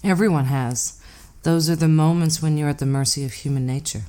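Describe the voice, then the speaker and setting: Mysterious otherworldly woman drifter played by an actress, deep female voice, grave, measured and ominous, for audio drama. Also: fairly even intonation, dark tone, natural voice. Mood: calm, cryptic. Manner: measured, cryptic, grave storytelling. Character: enigmatic woman hitchhiker, calm, knowing, ominous otherworldly collector.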